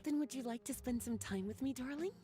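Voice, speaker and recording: A woman's voice: high voice, fast, natural voice. An actress playing a flirtatious, worldly woman librarian in a video game, speaking in a coy, leisurely and teasing manner. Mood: flirtatious, fond. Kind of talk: video game dialogue acting.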